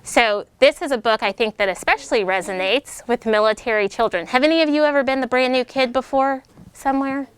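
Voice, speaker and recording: A middle-aged American woman, a military officer, reading a children's picture book aloud with lively, animated delivery and voiced character lines. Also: high voice, a little fast, clear, resonant tone. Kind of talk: storybook read-aloud.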